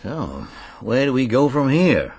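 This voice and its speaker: Wry Englishman, very animated, husky. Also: medium pitch, unhurried, exaggerated voice. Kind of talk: radio drama acting.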